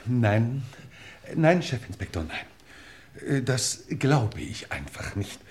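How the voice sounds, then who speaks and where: An actor playing an elderly lord and indulgent uncle in a German crime radio play, with a hesitant, theatrical manner. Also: male voice, mid-range voice, very animated, slow pace, darkish tone, slight background noise. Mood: indignant.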